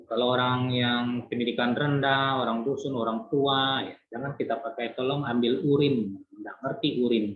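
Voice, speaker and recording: A man's voice: medium pitch, moderate pace, few pauses. Man lecturing in a roomy, dull recording. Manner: relaxed, conversational explaining with many fillers and restarts. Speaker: nursing lecturer. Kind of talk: online lecture.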